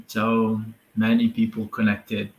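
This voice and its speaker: Italian guy hosting a late night live stream, slow and halting, echoey room. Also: lowish voice, monotone. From Italy. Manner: hesitant, halting, switching between Spanish and English. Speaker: event organizer hosting a live online meeting. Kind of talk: livestream briefing.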